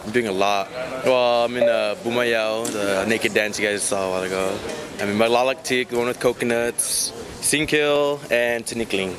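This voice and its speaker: A man's voice: medium pitch, fairly even intonation, moderate pace. A young man from the United States, a cultural dancer, talking casually in an interview. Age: young adult. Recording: crowd and outdoor noise behind.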